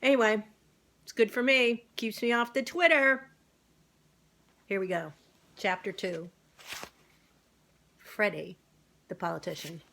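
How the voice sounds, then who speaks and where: A woman's voice: mid-range voice, slow pace, creaky, pauses often, pushed and bright, a little hiss or hum. A woman casually reading a children's book aloud as narrator, with informal asides. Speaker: amateur storybook reader.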